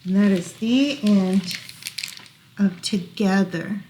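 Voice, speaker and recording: A woman reading picture books aloud to small children as a storytime librarian, gently explaining as she goes. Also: medium pitch, slight background noise, low-quality recording. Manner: gentle, unhurried, explaining pictures to little listeners.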